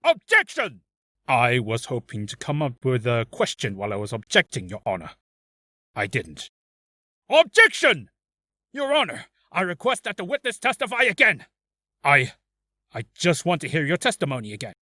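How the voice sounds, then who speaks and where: A man playing an excitable, flustered young defence lawyer from a video game, halting but given to loud protest. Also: a little high, dry recording, clean studio sound, full character voice. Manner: halting, bursts into shouted protest. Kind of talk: video game character acting.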